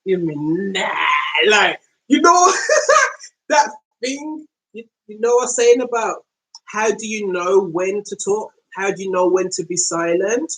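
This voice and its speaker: A woman with a London accent telling a story in a casual, slangy monologue, acting out quoted speech in slow, deliberate tones. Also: mid-range voice, animated, a bit bright, poor audio. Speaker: podcast host.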